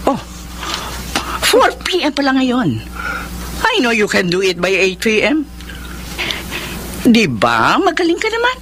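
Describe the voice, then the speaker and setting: An actress playing a harsh, demanding female film company boss in a Filipino radio drama, with a Filipino accent and an imperious, sharp manner. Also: on the high side, wildly swooping pitch, slow pace, pauses often, noisy background, exaggerated voice. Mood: cold, condescending. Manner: imperious, sharp, switches between Tagalog and English. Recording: music bed under the voice.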